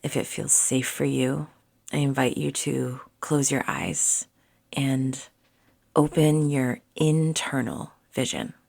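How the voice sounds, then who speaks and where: A woman leading a soothing guided meditation in a hushed, gentle way with deliberate pauses and soft phrase endings. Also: a bass voice, gravelly.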